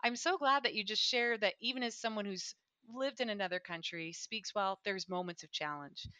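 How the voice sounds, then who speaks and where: A woman's voice: medium pitch, moderate pace, bright, forceful tone, no echo. A woman hosting an interview, enthusiastic and affirming, laughing often. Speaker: podcast host. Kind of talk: conversational interview.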